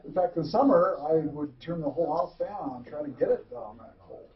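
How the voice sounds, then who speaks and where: A man's voice: on the high side, lively intonation, a little fast, far from the mic, highs cut off. A man co-hosting a radio talk show, easygoing in casual banter but firmly holding his point.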